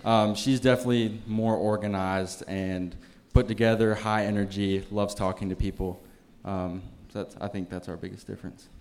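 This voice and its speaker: A young man from West Virginia, a newlywed panel guest, soft-spoken and laid-back. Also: on the low side, steady tone, normal speed, hardly any pauses. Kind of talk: casual chat.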